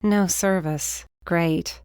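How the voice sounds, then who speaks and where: A woman reader plays a spirited young woman in a storybook. She speaks casually and bluntly with an excitable streak, in a close, dry recording. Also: lowish voice, very slow, clean tone, no room sound. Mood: flustered.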